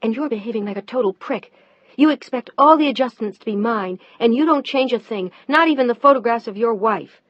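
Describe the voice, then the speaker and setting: Wife, high and heated, near tears, woman putting her on. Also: expressive, brisk, no room sound, highs cut off. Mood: angry. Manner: loud, sharp, near tears. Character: upset new wife, tearful and fierce.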